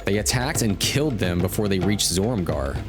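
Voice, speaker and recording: A man's voice: deep voice, lively intonation, normal speed. A man giving casual, laid-back gameplay commentary as he hosts a stream, thinking aloud and reading out quest text. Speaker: game livestream host. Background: game music.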